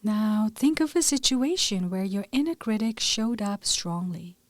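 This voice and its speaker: Slow, soothing woman guiding a meditation. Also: mid-range voice, lively intonation, no room sound. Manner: soothing, deliberate, measured phrasing. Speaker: meditation guide. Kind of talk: gentle scripted guided meditation.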